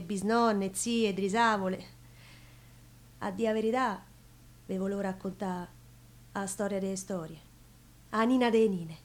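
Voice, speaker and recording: A woman's voice: mid-range voice, natural voice. An actress playing a chatty, wistful Roman woman who tells stories on stage, rambling colloquially to her audience with a Roman accent. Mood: rambling, musing. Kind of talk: theatrical monologue in dialect.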